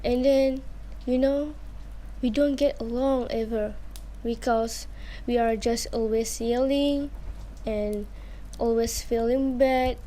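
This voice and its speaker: A woman's voice: on the high side, steady tone, slow pace, long pauses, dark tone, low-quality recording. A young woman playing a weary, hurt daughter in an amateur radio drama, confessing in a subdued, hesitant way. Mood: troubled. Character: weary, hurt daughter belittled by her parents.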